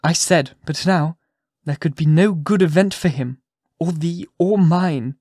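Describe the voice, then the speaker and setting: A man's voice: mid-range voice, completely flat delivery, normal speed, a little mellow, husky, dry recording, studio quality, lightly acted. A man voicing an anguished but resolute woman who pleads with dignity in impassioned, archaic storybook speech. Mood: earnest pleading. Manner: archaic, impassioned, emphatic delivery.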